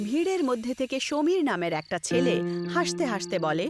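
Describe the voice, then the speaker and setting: A woman's voice: a little high, big pitch swings, moderate pace. A woman reading scripted narration for a horror story. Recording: loud music under the voice.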